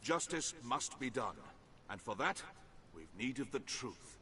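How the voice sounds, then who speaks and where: An actor playing a stern, formal court official in a fantasy video game, using measured archaic diction. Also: male voice, mid-range voice, big pitch swings, very noisy. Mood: grave, formal.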